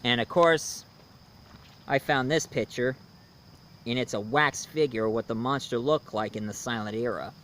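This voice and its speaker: A man giving a casual movie review as host, in a halting way with pauses mid-sentence and repeated phrases. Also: medium pitch, a bit bright, slight background noise.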